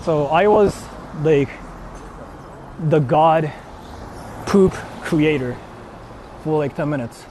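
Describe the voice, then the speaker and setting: A man with a Japanese accent talks in a slightly high voice over light outdoor city noise. He rambles casually like a live streamer, informal and blunt as he chats with viewers. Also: takes it slowly, long pauses, mellow. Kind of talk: casual livestream chat, answering viewers. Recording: noisy outdoor street, traffic ambience. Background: urban ambience and vehicles.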